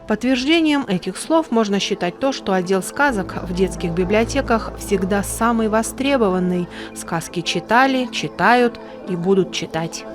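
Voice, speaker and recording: A woman's voice: medium pitch, expressive, moderate pace, hardly any pauses. Woman narrating a documentary over prominent music. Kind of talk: scripted narration.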